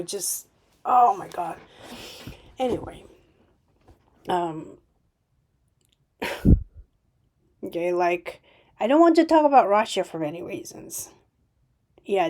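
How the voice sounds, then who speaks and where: A woman speaks slowly and unscripted on her own podcast, her voice sitting at an ordinary middle pitch. She stops and restarts often, then pushes out sudden emphatic bursts. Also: a little mellow. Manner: halting, many restarts and fillers, emphatic bursts. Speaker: podcast host. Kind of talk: unscripted solo podcast monologue.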